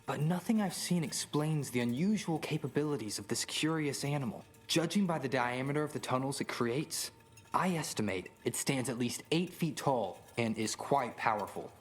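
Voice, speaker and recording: Guy playing bookish field researcher reading his notes in a game, average pitch, careful and formal. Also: animated, close to an everyday voice. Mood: studious, uneasy. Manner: precise, formal, measured. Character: studious male field researcher logging monster observations. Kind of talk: scripted journal narration in a video game.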